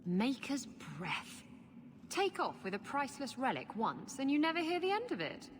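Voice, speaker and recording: A woman's voice: high-pitched, huge pitch swings, moderate pace, in a large hall, slight background noise. An actress playing a brash but remorseful female rogue adventurer in a video game, speaking earnestly with a wry edge. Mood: wry exasperation. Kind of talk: video game cutscene acting.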